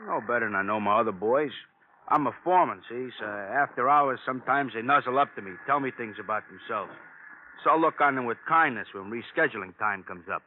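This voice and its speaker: A man's voice: mid-range voice, expressive, brisk, lightly acted. An actor playing a defensive, slangy working-class foreman dodging questions in a 1950s radio crime drama. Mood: defensive, evasive. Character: evasive working-class male dairy foreman under police questioning. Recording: tinny, noisy vintage radio recording.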